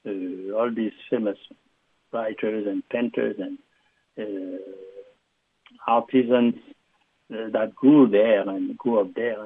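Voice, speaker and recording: A man from Haiti, a historian answering interview questions in a halting way with many fillers and restarts. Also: fairly low pitch, breathy edge. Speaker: guest, historian and literature professor. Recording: phone line.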